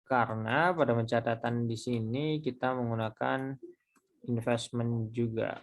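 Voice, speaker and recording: A man giving an informal lecture, explaining step by step in a halting way and posing questions to himself. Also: medium pitch, steady tone. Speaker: accounting lecturer. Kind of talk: explanatory screen tutorial lecture.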